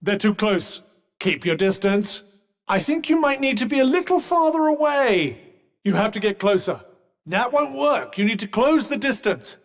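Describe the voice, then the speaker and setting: A sardonic enchanted cuff for a video game: middle-aged, refined British male, fairly high voice, dry and pompous, drenched in long reverb. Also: sing-song, moderate pace. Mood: brisk advice. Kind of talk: game companion combat barks.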